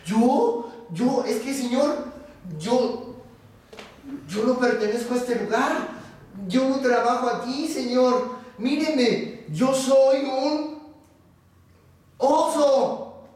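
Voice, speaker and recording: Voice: sits high, extremely slow, mellow, pitched above the performer's own voice. Storyteller as a bewildered timid bear, raised echoey voice. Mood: confused, pleading. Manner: hesitant, stammering, earnest protesting. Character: bewildered, timid male bear pleading his identity. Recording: very echoey room, distant mic, faint noise.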